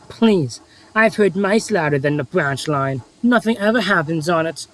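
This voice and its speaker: A man's voice: high-pitched, expressive, a little slow, fairly bright tone, rough recording. A man playing a grumpy, devious diesel engine in a children's railway story, sarcastic and boastful in manner. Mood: dismissive.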